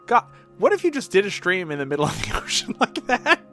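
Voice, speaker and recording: Animated, high-pitched man chatting on stream. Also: a little fast, faint music behind. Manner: rambling, profane. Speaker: video game streamer. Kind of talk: casual livestream chat over gameplay.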